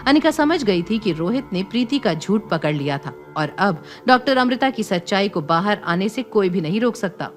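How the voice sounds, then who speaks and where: A woman's voice: mid-range voice, animated, normal speed, few pauses. A woman reading scripted, dramatic story narration as the narrator of an audio series. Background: music.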